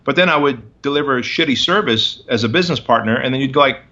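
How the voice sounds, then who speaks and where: Man in his 40s, bright tense voice, punchy motivational talk, kinda dull lo-fi sound. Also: mid-range voice, fast talker. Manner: emphatic, punchy short phrases. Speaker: interview guest, entrepreneur. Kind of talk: motivational storytelling interview.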